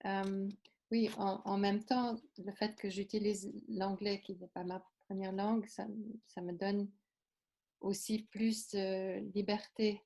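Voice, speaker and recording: A woman's voice: mid-range voice, little pitch movement, slow pace, warm tone. A woman giving consecutive interpretation, hesitant with many fillers and restarts.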